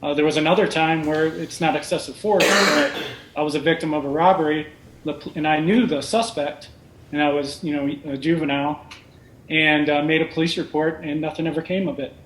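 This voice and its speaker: A man in his forties, once a police officer, talks slowly and carefully with plenty of uhs. His voice sits a little high for a man and carries a roomy echo. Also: steady tone. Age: 40s. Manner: measured, hesitant with frequent uhs. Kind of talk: interview testimony.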